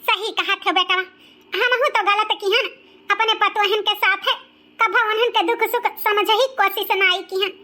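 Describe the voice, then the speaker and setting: A voice actor playing an elderly village mother-in-law in a cartoon, plaintive and regretful, with an Awadhi accent and drawn-out complaints. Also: very high-pitched, fairly even intonation, sharp tone, slight background noise, heavily acted. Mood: regretful, advising.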